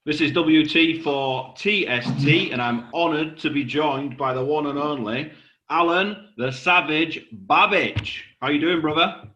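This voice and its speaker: A man's voice: a little high, a little slow, sharp tone. A man chatting informally and matily as an interviewer. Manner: matey, informal.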